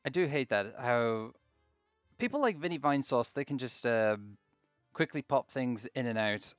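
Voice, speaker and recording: A man hosting a casual game stream, chatting in a relaxed way while fiddling with his setup. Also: mid-range voice, normal speed, dry recording, highs cut off, soft background music. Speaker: streamer host.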